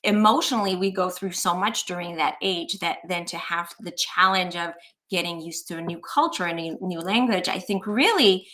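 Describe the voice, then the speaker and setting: A woman's voice: on the low side, pushed and bright. A middle-aged woman from Iran, a physician and interview guest, telling her life story in an earnest, reflective way with some "you know" and "um".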